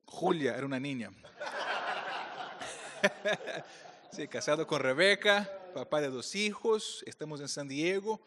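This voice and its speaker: A man's voice: fairly high pitch, animated, a little slow, pauses often, sharp tone. A middle-aged man from Brazil, a native Portuguese speaker with a Brazilian accent, giving a playful conference talk as a speaker for a church group. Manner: joking, playful with the audience. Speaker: pastor speaker. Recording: long hall reverb.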